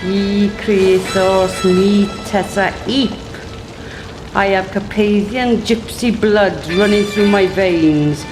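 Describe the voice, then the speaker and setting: An actress playing a menacing, fanatical old servant hag in a radio play, theatrical and ominous. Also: female voice, mid-range voice, steady tone, slow pace, music in the background, natural voice, poor audio. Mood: ominous curse.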